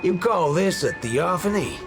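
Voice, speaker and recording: An actor playing a cocky, laconic cowboy gunslinger in a game, with a dry American Southern drawl. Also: male voice, sits high, lively intonation, a little slow. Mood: irritated, scornful. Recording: game music underneath.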